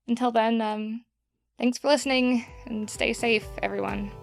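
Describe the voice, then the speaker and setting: A woman's voice: fairly high pitch, fairly even intonation, unhurried, creaky, music in the background. A woman acting a flustered, uncomfortable young podcaster in a naturalistic audio drama, hesitant and awkward.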